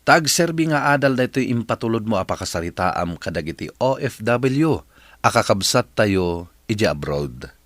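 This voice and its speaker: Expressive, low-voiced Filipino male radio narrator. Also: moderate pace, no room sound. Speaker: radio drama host and narrator. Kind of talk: scripted narration with listener advice.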